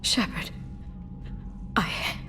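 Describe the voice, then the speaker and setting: A woman playing a gentle, sober squadmate in a dramatic video game. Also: very slow, slight background noise, heavily acted. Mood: consoling.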